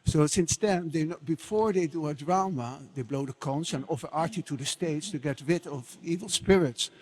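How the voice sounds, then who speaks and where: Guy w slightly high voice introducing a devotional drama, informal talk. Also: normal speed, darkish tone, breathy edge.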